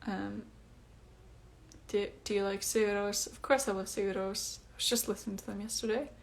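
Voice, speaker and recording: A young woman chats at home into her phone, reading out and answering viewers' comments. Her talk is loose and breezy, full of um's and like's, and her pitch sits right in the middle for a woman. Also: steady tone, normal speed, creaky. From Iceland. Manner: chatty and breezy, with many fillers. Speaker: singer hosting a livestream. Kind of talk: casual livestream chat answering comments. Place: at home, indoors.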